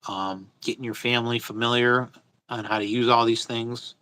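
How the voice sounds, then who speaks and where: A man's voice: mid-range voice, fairly even intonation, normal speed, fairly bright tone, clear, resonant tone, poor audio. A man hosting a casual livestream off the cuff, speaking bluntly and with strong opinions, repeating his phrases for emphasis. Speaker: host of a livestream.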